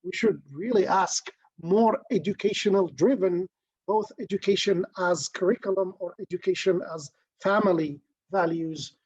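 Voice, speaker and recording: A man's voice: high voice, moderate pace, darkish tone, slightly rough, no echo. A middle-aged man from Morocco, a guest talking through ideas in a thoughtful, philosophical way with many restarts. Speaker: interview guest. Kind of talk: reflective conversational interview. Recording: dull, dry lo-fi sound.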